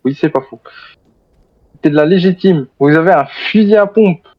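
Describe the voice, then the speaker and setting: Slightly high-voiced man playing a wary soldier survivor. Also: normal speed, lo-fi sound, lightly acted. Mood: tense, challenging. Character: armed soldier survivor of a zombie outbreak, wary but cooperative. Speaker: video game roleplayer. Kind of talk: improvised in-character game roleplay.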